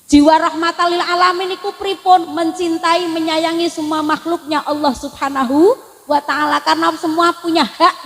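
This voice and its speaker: A woman's voice: sits high, a bit bright. A woman in her forties with a Javanese accent, giving a comic sermon from a stage, joking and teasing the crowd. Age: 40s. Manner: joking, chatty, teases the crowd, breaks into song. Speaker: preacher. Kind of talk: comic sermon at a religious gathering. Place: on a stage at an event.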